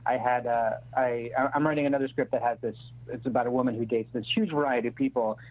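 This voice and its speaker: A male screenwriter talking casually as an interview guest, halting and self-correcting, in a conciliatory way. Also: medium pitch, fairly even intonation. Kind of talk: casual panel discussion. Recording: phone-like band-limited remote line.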